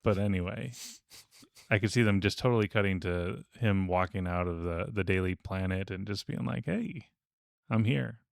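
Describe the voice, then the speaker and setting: A man's voice: deep voice, moderate pace, vocal fry, no echo, studio quality. A man hosting a panel podcast in a casual, conversational style. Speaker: podcast host leading a panel discussion. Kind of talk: casual chat.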